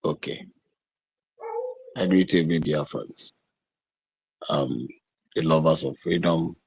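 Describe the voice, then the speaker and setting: A Nigerian man giving a deliberate, emphatic political address as an activist speaker, repeating phrases over an online audio call. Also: a bass voice, gravelly, a little mellow, slightly rough, dry recording. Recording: dry, dull lo-fi internet call audio.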